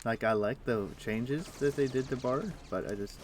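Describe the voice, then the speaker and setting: A man's voice: mid-range voice, moderate pace, background noise. A young man streaming a game from home, chatting casually with many fillers and restarts. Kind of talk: casual gameplay chat. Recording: music playing behind voice. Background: music.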